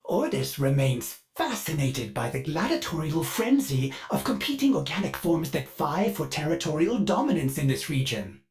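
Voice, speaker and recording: An actor playing a polite, gentle male ship AI in a video game, talking about himself in the third person with dry, playful wit. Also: on the high side, sing-song. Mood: musing. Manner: wistful, slow musing delivery with playful asides. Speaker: ship's AI companion.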